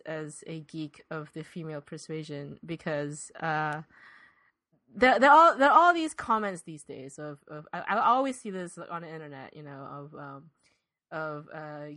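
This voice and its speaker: A woman's voice: sits low, animated, normal speed, fairly bright tone. A woman co-hosting a podcast in casual, opinionated chat, emphatic and circling back over her phrases. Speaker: podcast co-host.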